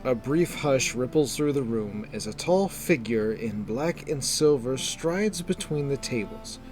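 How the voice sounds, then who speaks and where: A man narrating a scripted fantasy story in a measured, descriptive storytelling manner. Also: medium pitch, animated, darkish tone, faint music behind.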